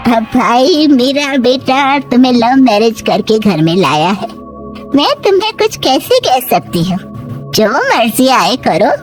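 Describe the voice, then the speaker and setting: A woman voicing a fretful, suspicious middle-aged mother-in-law in an animated moral story, with a grumbling, dramatic manner. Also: a little high, a little slow, soft background music. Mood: sarcastic, disapproving.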